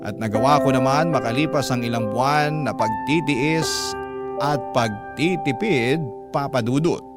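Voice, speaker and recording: A man playing a long-suffering young man, narrating his life story in an earnest, measured way. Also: mid-range voice, close to an everyday voice. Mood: weary, earnest. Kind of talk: dramatic scripted first-person narration. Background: music.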